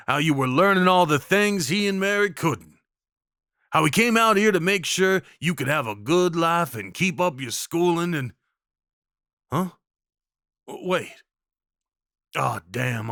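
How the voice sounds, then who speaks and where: A man's voice: a little high, bright, forceful tone, no echo, exaggerated voice. Man playing a folksy Old West cowboy, close and dry. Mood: fond, then flustered, awkward. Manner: folksy, reassuring, informal. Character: kindly rough-spoken Old West cowboy guide, protective and awkward. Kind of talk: intimate audio roleplay acting.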